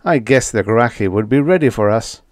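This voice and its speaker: A man's voice: mid-range voice, expressive, moderate pace, no echo, clean studio sound, close to an everyday voice. A man playing a weary starship captain. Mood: tense. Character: gaunt, weary starship captain, steady and determined.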